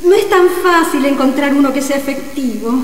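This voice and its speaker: Woman playing a high-voiced coy amateur sorceress. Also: expressive, fairly quick. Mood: coy, evasive. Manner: coy, evasive, theatrical. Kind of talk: radio comedy drama acting. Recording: echoey reverberant sound, faint noise.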